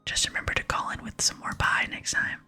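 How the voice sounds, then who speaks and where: A woman voicing a gruff, doubting old male dwarf smith from a game in an expressive whisper. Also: fairly quick. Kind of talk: whispered reading of game dialogue. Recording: close whisper with music behind.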